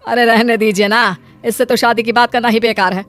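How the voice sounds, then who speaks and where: A woman's voice: a little high, fairly even intonation, a little fast, a bit bright, lightly acted. A woman voicing a nagging middle-aged mother of grown children in a moral-story cartoon. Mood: scolding. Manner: scolding, exasperated.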